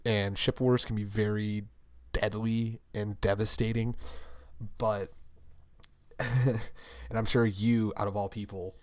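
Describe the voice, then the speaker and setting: A young man co-hosting a casual chat show, his voice hoarse, deep and gravelly from screaming, rambling upbeat and laughing often. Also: flat delivery, takes it slowly, long pauses, a little mellow, no echo. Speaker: podcast co-host.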